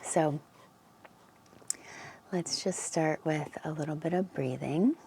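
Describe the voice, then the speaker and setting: A woman leading a guided meditation in a soft, unhurried, informal way, often dropping to a near whisper and pausing between phrases. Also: sits low. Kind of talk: guided meditation, gentle instruction. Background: wind chimes and ambient noise.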